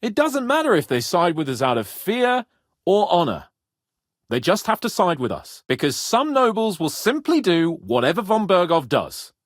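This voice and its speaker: A brash young nobleman for a medieval video game. His voice sits high and swings widely, full of lordly self-importance and spirited confidence. The sound is very dry. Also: wildly swooping pitch, moderate pace, no echo. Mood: determined. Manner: pompous, spirited, swearing. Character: cocky young Bohemian noble lord, boastful, foul-mouthed, eager.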